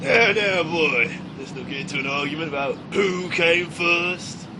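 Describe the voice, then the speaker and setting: An actor playing a brash, chummy Cockney rogue in a comedy sketch, cocky and indignant. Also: male voice, a little high, unhurried, background noise. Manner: swaggering, chummy, calls people son, boy and love.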